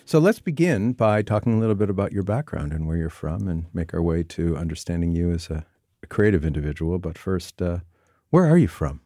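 Podcast host guy, low warm voice, calm measured delivery, dead dry studio. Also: no room sound. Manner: measured, scripted introduction then thoughtful questions. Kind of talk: interview podcast.

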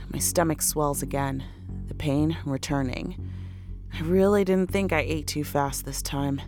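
Low-voiced, anxious pregnant horror narrator. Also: female voice, a little mellow. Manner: intimate, weary, swearing. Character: anxious pregnant woman narrating her horror ordeal, exhausted, frightened. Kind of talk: first-person horror audio drama narration.

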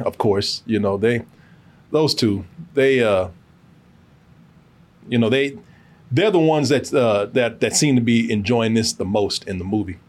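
A man hosting a casual, profane film review podcast, telling it emphatically with lots of repetition and free cursing. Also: mid-range voice.

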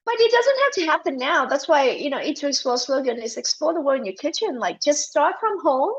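A woman's voice: high voice, sing-song, a little fast, lo-fi sound. A woman from Malaysia, a guest in a casual interview, talking animatedly with many fillers and restarts.

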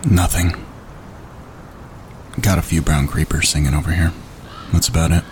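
An actor playing a weary, laconic male wildlife photographer in an audio drama, with a gravelly voice and low-key delivery. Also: little pitch movement, moderate pace. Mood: weary, flat. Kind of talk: naturalistic audio drama acting. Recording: rumbling background noise.

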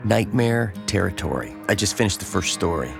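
An actor playing a lonely, absent-minded small-town repairman in an audio drama, halting and apologetic. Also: low-pitched, expressive, vocal fry. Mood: distracted. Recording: loud guitar music behind.

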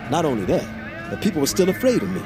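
A man's voice: on the high side, sing-song, fast. An actor playing a brooding, middle-aged American war veteran and father who is unravelling, in an audio drama. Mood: wounded, agitated. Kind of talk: audio fiction drama acting. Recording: dramatic music under the voice.

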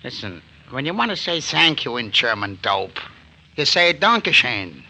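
An actor playing a gruff, sarcastic American short-order cook, full of slang, in 1940s radio style. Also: male voice, mid-range voice, very animated, moderate pace, sharp tone, exaggerated voice. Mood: incredulous. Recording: faintly noisy old radio recording.

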